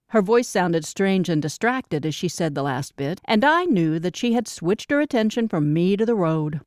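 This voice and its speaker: A woman narrating first-person fiction as an audiobook, with expressive, carefully enunciated storytelling. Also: lowish voice, clear, resonant tone, no room sound, studio quality.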